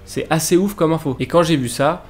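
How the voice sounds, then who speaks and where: A young man with a French accent tells his story straight to his viewers, casual and chatty. His voice sits a little high for a man. Soft background music plays under him. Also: a little fast, husky. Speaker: YouTuber narrating a story. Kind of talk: storytelling vlog narration. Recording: faint music bed behind voice.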